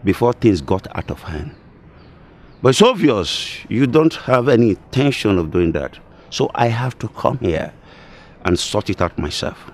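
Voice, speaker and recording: An actor playing a proud, quarrelsome middle-aged uncle in a film drama, with a Nigerian accent and an indignant, threatening delivery. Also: male voice, fairly low pitch, sing-song, exaggerated voice. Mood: menacing. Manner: indignant, insulting, drawn-out delivery.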